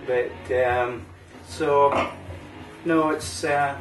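A relaxed middle-aged Scottish man with an Aberdeen accent chats easily about cigars across a home bar table. He is picked up by a camera mic some way off, so the room sounds roomy and a little distant. Faint music plays behind him. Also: medium pitch, drawn-out words. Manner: relaxed, chatty, local slang like "wee" and "aye". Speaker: cigar review host. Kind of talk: casual chat.

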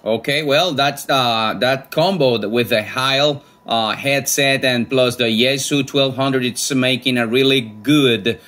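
A man's voice: medium pitch, measured pace, hardly any pauses, a bit bright. A man in his forties chatting casually and enthusiastically as an amateur radio operator. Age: 40s. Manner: friendly, chatty, enthusiastic about old radios. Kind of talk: casual ham radio chat.

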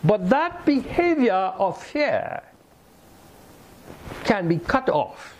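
An older man preaching a sermon from a lectern in a small room, slow and deliberate with pauses. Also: sits high, very animated. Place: small indoor room by a fireplace mantel.

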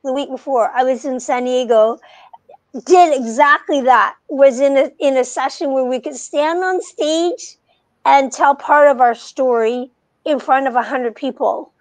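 A middle-aged woman hosting a podcast, chatting in an animated way with frequent restarts, on a video call with wired earbuds. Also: sits high, measured pace, dry recording, low-quality recording. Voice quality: soft voice. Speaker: podcast host. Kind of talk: casual conversation. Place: home room, video call. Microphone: wired earbuds headset.

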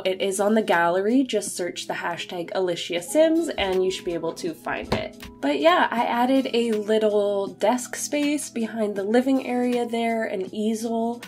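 A woman's voice: medium pitch, a little mellow. A woman who is a bit sick, narrating a game build video in a casual, chatty, self-deprecating way. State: sick, voice slightly off. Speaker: gaming video creator, narrator. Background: background music under voice-over.

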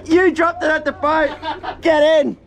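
An Australian man chatting excitedly while fishing on a boat, often repeating himself as he calls out. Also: very high-pitched, unhurried, vocal fry, crowd noise. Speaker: host of a fishing and camping vlog. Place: outdoors on a small boat at sea. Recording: noisy outdoor boat recording.

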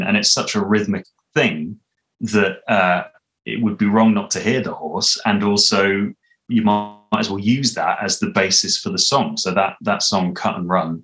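Low-pitched man, thoughtful. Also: a bit bright, low-quality recording. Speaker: audio drama composer, interviewee. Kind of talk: casual interview conversation. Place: small home room, indoors. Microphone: video call, mic at a distance.

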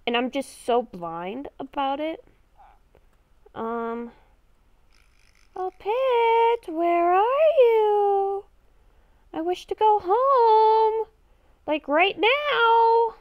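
A woman's voice: extremely high pitch, very animated, extremely slow, long pauses, no echo, muffled recording. A woman improvising in-character game roleplay, talking to herself in murmurs and exclamations. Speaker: gaming video creator playing a roleplay character.

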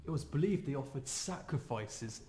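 Uneasy, practical male played by a man: conversational audio play, expressive pitch, noisy reverberant space. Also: moderate pace, close to an everyday voice. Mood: matter-of-fact. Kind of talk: conversational radio drama acting. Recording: echoey, noisy room with clicks.

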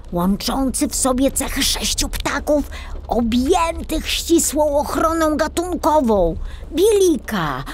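A woman voicing an old male forester for a children's audiobook, speaking solemnly and deliberately. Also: high voice, a little hiss or hum, voice pushed up, heavily acted.

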